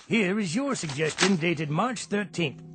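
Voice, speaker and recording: An actor playing an exasperated, sarcastic company boss in a comic radio drama, speaking formally and deliberately with withering disdain. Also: male voice, high-pitched, expressive, over music.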